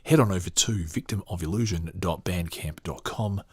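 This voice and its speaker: A man hosting a podcast, chatting with many hesitations, then reading a book aloud in a steady, measured way. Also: sits low, breathy edge, no room sound. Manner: hesitant, filler-heavy chat between measured reading. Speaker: podcast host. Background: faint guitar music.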